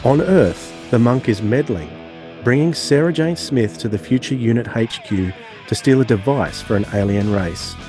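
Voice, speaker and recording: A man's voice: medium pitch, lively intonation, moderate pace. A man co-hosting a podcast, talking conversationally and reading out prepared text. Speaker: podcast co-host. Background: acoustic guitar music.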